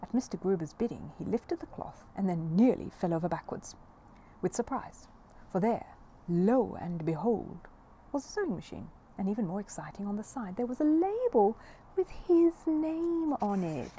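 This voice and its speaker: A woman reading a children's story aloud as an expressive narrator. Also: medium pitch, moderate pace, slight background noise. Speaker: audiobook reader.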